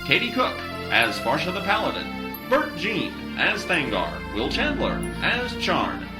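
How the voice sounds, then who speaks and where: A man's voice: high-pitched, huge pitch swings, measured pace, over loud music. A man reading scripted credits as an announcer for an audio drama.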